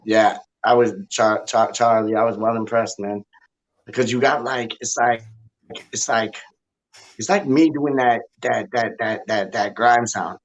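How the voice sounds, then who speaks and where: A man with a Canadian accent chatting on a live stream, animated and slangy, often repeating himself. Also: fairly low pitch, measured pace, lo-fi sound. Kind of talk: casual live-stream chat.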